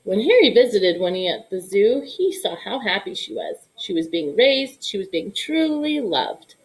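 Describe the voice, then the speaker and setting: Woman, storybook reader, expressive read-aloud style, mid-range voice. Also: medium pitch, normal speed, rough recording.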